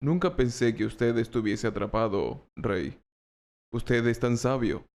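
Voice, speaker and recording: A man's voice: medium pitch, lively intonation, measured pace, darkish tone. A man playing a naive, earnest knight in an audiobook, questioning and puzzled. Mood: puzzled, hopeful. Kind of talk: audiobook character acting.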